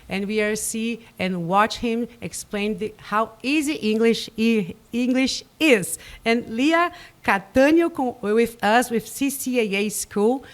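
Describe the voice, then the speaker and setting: Brazilian woman hosting a radio show, eager. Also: fairly high pitch. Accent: Brazilian Portuguese. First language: Portuguese. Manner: enthusiastic, inviting, a little nervous. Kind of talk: casual live studio chat. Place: radio studio.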